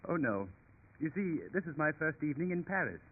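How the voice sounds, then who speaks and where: An actor playing an earnest, polite, ambitious English military man in a formal, measured 1930s radio style. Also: fairly high pitch, sing-song. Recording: tinny, faintly noisy 1930s radio recording.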